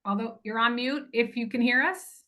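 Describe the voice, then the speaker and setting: A woman's voice: on the high side, moderate pace, pushed and bright. Woman chairing a planning call, chatty, lots of ums and restarts, video call audio. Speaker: event organizer.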